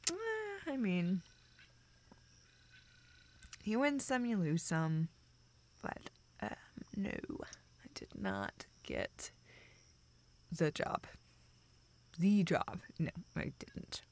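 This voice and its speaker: A woman acting a self-deprecating, dryly sarcastic young woman confiding haltingly in a close friend, in an intimate, naturalistic audio roleplay. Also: mid-range voice, a little hiss or hum, exaggerated voice. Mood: deflated, awkward. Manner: hesitant, halting, deadpan joking.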